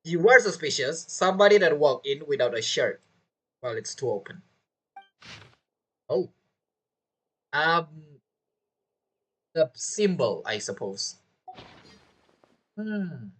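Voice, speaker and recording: Animated, joking game streamer. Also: mid-range voice, takes it slowly, long pauses, slightly rough. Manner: joking, teasing, talks back to characters. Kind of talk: casual gameplay commentary.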